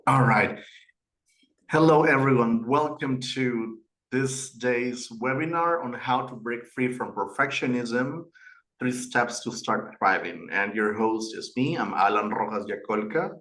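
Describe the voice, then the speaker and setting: A man's voice: medium pitch, unhurried, fairly bright tone, rough recording. A middle-aged man from Peru with a Peruvian Spanish accent, hosting a webinar and telling personal stories earnestly, with fillers and restarts. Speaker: webinar host and institute director. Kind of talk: webinar presentation.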